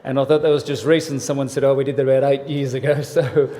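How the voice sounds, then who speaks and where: A man in his sixties with an Australian accent, from New South Wales. He gives a church workshop talk in a relaxed, joking way, with many "uh" fillers. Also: medium pitch, fast, long reverb tail, a little hiss or hum. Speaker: family ministries pastor. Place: church hall.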